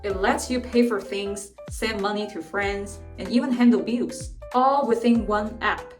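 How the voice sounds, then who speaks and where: A young adult woman from China telling personal stories in a clear, explanatory vlog narration. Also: mid-range voice, a little slow. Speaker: vlogger. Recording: roomy echo from a distance, faint music.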